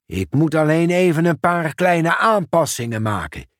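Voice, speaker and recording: A confident handyman boss, as a male storyteller puts him on, reassuring and self-assured. He speaks slowly, and the recording is dry and close. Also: a little high, sing-song, no room sound, pitched above the performer's own voice.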